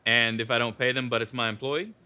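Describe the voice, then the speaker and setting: A man playing a terse, hardboiled private detective in a noir parody, with clipped deadpan delivery. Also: medium pitch, quick pace, noisy background, lightly acted.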